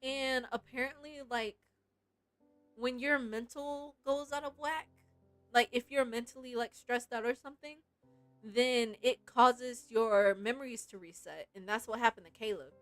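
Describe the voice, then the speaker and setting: A woman game streamer chatting casually and reacting in an animated, giggly way. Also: a little high, unhurried, dry recording, faint music behind. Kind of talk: casual livestream chat with read-aloud game lines.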